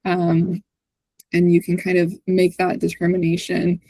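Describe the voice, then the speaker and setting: A woman's voice: fairly low pitch, completely flat delivery, unhurried, dark tone, no echo. A woman hosting an online call, giving an informal walkthrough in a conversational way, often checking in with the listener as she explains. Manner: conversational, often ends points with a checking "right?". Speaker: host presenting on a community call. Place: online video call with screen sharing.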